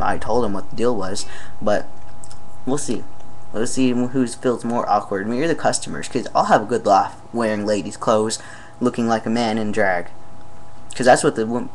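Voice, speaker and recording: A young man with a deep voice, talking casually in a vlog, rambling with many fillers and trailing off into quiet asides. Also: slight background noise, rough recording. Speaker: vlogger.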